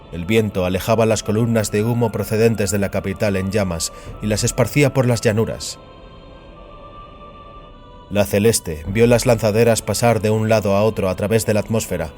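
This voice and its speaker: A man narrating dramatic fiction in a solemn, measured way. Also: on the low side, darkish tone.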